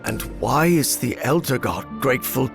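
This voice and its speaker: Male professor, gravelly, very expressive pitch, anxious, hesitant, earnest, game drama. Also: moderate pace, music in the background. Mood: bewildered. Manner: hesitant, emotional, questioning. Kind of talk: cinematic game voice acting.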